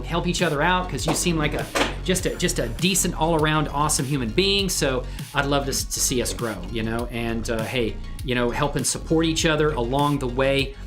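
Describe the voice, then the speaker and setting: A man hosting a casual livestream, talking in an informal, enthusiastic, rambling way. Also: a little high. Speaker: livestream host and hobby 3D animator. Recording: music faintly behind the voice. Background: music.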